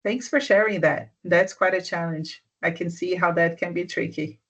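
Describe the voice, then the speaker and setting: A business mentor giving soft-spoken advice in an online session, often restarting sentences and checking that the listener follows. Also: medium pitch, normal speed, dull audio.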